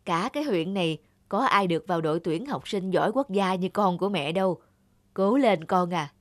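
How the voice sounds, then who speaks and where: A woman voicing a humble, caring middle-aged village mother in an animated moral tale, speaking plainly and hesitantly, shy and self-effacing. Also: on the low side, moderate pace, no room sound, close to an everyday voice. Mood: encouraging.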